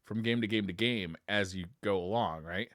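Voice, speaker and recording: A man's voice: lowish voice, moderate pace, fairly bright tone, dry recording, studio quality. A middle-aged man co-hosting a podcast, telling stories in a casual, self-deprecating and readily amused way. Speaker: podcast co-host. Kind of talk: casual chat and storytelling.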